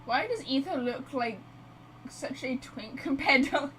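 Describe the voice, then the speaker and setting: Female game streamer: fairly high voice, rambling and blunt casual commentary, excitable, slight background noise. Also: a little slow. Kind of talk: casual live reaction commentary.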